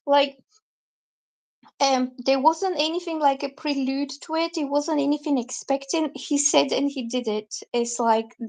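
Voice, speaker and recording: A high-voiced woman reads tarot cards on a live stream. She talks in a chatty, loose way and drifts from point to point as she explains the cards. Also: steady tone, measured pace, darkish tone. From Bulgaria. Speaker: tarot reader.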